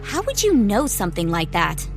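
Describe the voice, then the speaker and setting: A woman's voice: medium pitch, wildly swooping pitch, normal speed, faint music behind. A young woman acting a proud, curt teenage squire in an audio drama, brusque and defensive. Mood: exasperated, wary.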